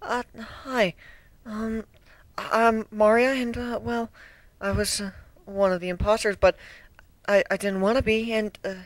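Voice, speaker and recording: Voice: medium pitch, little pitch movement, measured pace, long pauses, no room sound, voice pushed up, lightly acted. A performer voicing a meek, frightened young female griffin with a hesitant stammer for a story. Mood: meek, scared.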